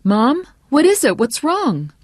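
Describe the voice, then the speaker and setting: Audiobook role: narrator as teenage son, raised pitch, stunned and disbelieving. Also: wildly swooping pitch, slow, no room sound, studio quality, exaggerated voice. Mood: incredulous, alarmed. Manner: incredulous, urgent questioning. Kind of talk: audiobook character acting.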